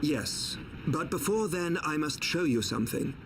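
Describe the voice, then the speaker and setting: Enigmatic game guide, fairly high voice, formal, measured, composed, noisy ambience behind. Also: male voice, animated, vocal fry. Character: composed, enigmatic male guide in a fantasy party. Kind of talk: video game cutscene acting.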